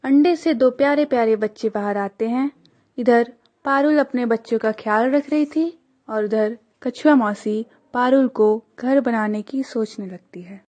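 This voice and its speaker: A woman narrates a children's tale in a fairly high voice. She takes her time, with very slow, scripted storytelling delivery. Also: darkish tone.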